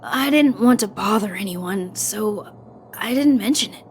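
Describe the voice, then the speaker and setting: A woman's voice: medium pitch, animated, a little slow, slight background noise, lightly acted. A woman voicing a dutiful, polite young male guard in an anime-style video game, hesitant, formal and anxious. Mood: apologetic, subdued.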